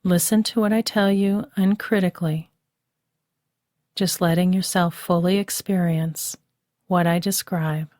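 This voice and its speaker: A woman guiding a relaxation meditation, speaking softly and soothingly, recorded dry and close as a voice-over. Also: lowish voice, fairly even intonation, dry recording. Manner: slow, soft and soothing. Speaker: meditation guide.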